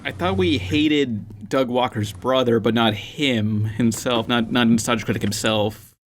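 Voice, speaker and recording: A man's voice: medium pitch, measured pace, a little hiss or hum. A man hosting a casual panel podcast over an online call, talking in a blunt, profane, rambling way. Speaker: podcast host.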